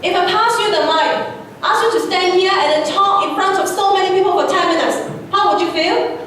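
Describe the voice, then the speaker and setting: Very high voiced lady teacher telling off students, super echoey, recorded from far off. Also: a little fast, fairly bright tone. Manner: stern, admonishing, rhetorical questions. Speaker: teacher addressing school assembly. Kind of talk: stern scolding address to students. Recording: very echoey hall, distant, noisy.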